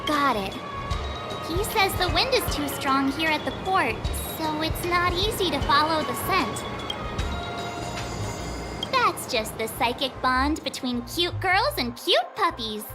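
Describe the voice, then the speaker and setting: A bubbly young girl adventurer for a game: extremely high, cutesy, a bit slow, loud music underneath. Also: exaggerated voice. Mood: puzzled, chirpy. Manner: cutesy, expressive, pouty. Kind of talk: video game character dialogue.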